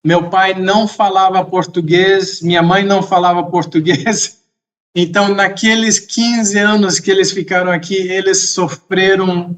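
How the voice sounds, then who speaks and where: Slow, high-voiced man telling a story. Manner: slow, measured, reflective, with occasional soft laughs. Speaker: podcast guest. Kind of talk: casual storytelling in an interview.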